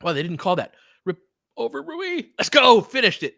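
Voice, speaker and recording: A man's voice: very high voice, very animated, normal speed, vocal fry, bright, forceful tone, dry recording, clean studio sound. A man doing casual live basketball commentary as a fan host, slangy and sweary, talking to the players.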